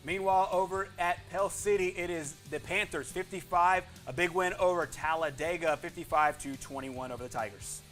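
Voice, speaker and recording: A man hosting a sports show, reading high school football scores in an emphatic announcer style. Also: normal speed, hardly any pauses, a bit bright, soft background music.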